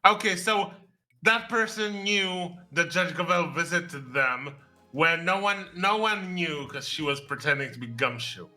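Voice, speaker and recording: A man with a very bright, forceful voice, a little high in pitch, chatting casually during a game stream. He speaks excitably, stopping and starting, with some swearing. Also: a little slow, soft background music. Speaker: voice cast member on a gaming stream. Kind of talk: casual gaming chat.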